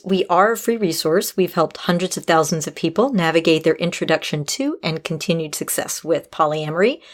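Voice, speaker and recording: A woman hosting a podcast in a conversational style, giving advice and talking to listeners. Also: fairly low pitch. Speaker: podcast host.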